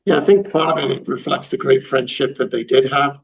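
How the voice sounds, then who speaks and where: A man in his fifties with a softened Liverpool English accent, speaking conversationally as a museum director being interviewed. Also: medium pitch, brisk, creaky, husky. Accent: English, from Liverpool, softened by years in America. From Liverpool, England. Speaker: guest, museum and library director.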